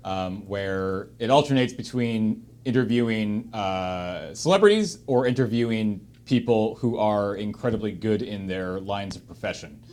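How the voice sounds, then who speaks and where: A man's voice: lowish voice, measured pace, few pauses, a little mellow. A man chatting casually as he moderates a group discussion, hedging and correcting himself as he goes. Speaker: discussion moderator on a charity livestream.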